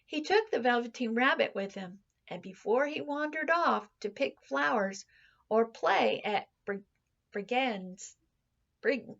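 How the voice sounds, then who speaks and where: A woman reading a children's story aloud like a teacher, expressively, with dramatic pauses and drawn-out words. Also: on the high side, animated, creaky, fairly bright tone, muffled recording.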